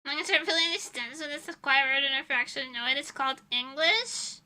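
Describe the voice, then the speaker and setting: A woman's voice: high-pitched, quick pace, bright, forceful tone. A young woman streaming as a VTuber, chatting casually with her viewers in a rambling, giggly way.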